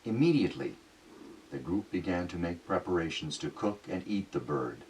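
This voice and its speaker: A man narrating an audiobook dramatically, with a coached South Sudanese Dinka accent and a slightly husky voice. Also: deep voice, big pitch swings, normal speed, a little mellow, a little hiss or hum, low-quality recording.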